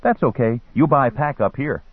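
A man's voice: medium pitch, moderate pace, slightly rough, no room sound, phone-quality sound, pitched above the performer's own voice, close to an everyday voice. A man voicing a persistent teenage boy hustler in a story, eager and wheedling in broken English. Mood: wheedling, insistent.

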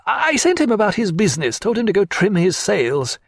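A man reading as a fussy, excitable ship's surgeon, high-pitched and swooping, with a light lilt. His protests climb sharply, and the sound is close and dry. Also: a little fast, slightly rough, no echo, full character voice. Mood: vexed. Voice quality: high, exclaiming, agitated.

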